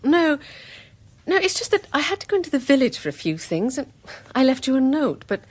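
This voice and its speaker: An actress playing a polite, chatty married newcomer in a radio drama, with a British accent and a genteel, solicitous manner. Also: female voice, high-pitched, sing-song, fairly quick. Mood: puzzled, explaining.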